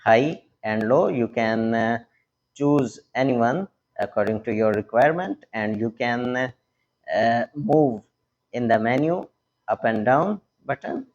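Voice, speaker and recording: A man presenting a hands-on, step-by-step technical tutorial, speaking instructionally in short, halting phrases. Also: mid-range voice, expressive, dull audio. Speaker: tech tutorial host.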